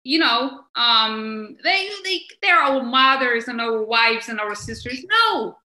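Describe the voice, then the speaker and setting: A woman from Somalia, a guest in an interview, speaking emphatically and animatedly, halting often on fillers and breaking into laughter. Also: on the high side, sharp tone, reverberant, poor audio. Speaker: guest, member of parliament. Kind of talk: conversational interview answers.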